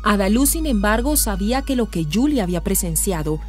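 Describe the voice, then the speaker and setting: A woman's voice: medium pitch, lively intonation, a little fast, darkish tone, close to an everyday voice. A woman narrating a serious radio soap opera from a script. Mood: serious storytelling. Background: music.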